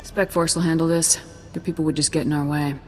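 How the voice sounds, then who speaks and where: A woman's voice: low-pitched, little pitch movement, fast, a little mellow, faint music behind, close to an everyday voice. Tough, curt woman soldier played by an actress. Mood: curt, dismissive. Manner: curt, cool, businesslike. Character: tough no-nonsense young female soldier, Republic lieutenant. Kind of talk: video game voice acting.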